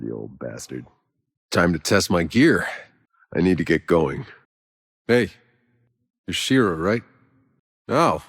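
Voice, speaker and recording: Video game role: gruff veteran soldier, low gravel, dry sarcasm, blunt delivery, heavy reverb. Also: male voice, exaggerated voice. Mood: wry, teasing, then matter-of-fact. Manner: blunt, sardonic, casual and profane. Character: gruff, world-weary sardonic male soldier and survivor. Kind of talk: video game character dialogue.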